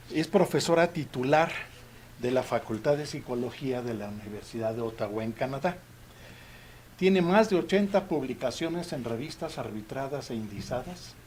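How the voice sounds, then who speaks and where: A man's voice: mid-range voice, darkish tone. Man formally introducing a speaker, noisy room. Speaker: host introducing a guest speaker. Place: university auditorium. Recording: noisy auditorium recording.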